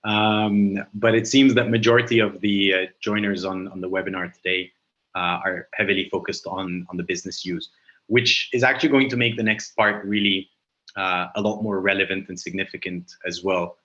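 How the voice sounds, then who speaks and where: A man's voice: low voice, fairly even intonation, moderate pace, fairly bright tone, muffled recording. A man whose first language is Arabic, giving a webinar presentation over a video call and explaining with frequent hesitations and fillers.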